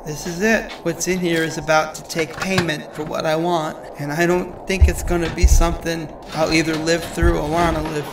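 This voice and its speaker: An actor playing an anxious, self-doubting ordinary man facing horror in an audio drama, talking haltingly like someone leaving a recorded message. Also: fairly high pitch, close to an everyday voice. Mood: dread. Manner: halting, nervous, conversational.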